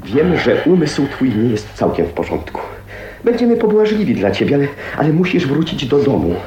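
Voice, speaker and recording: An actor playing a domineering, respectable older uncle in a radio drama, pompous, scandalised and scolding. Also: male voice, mid-range voice, wildly swooping pitch, moderate pace, darkish tone, dull audio. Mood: scandalised, stern. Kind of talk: Polish radio drama acting. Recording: roomy echo, faint noise, radio drama.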